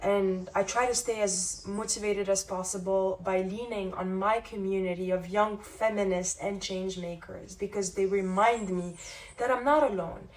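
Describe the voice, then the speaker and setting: A woman's voice: mid-range voice, fairly even intonation, normal speed, far from the mic, rough recording. A woman giving a determined, impassioned personal statement as a feminist advocate.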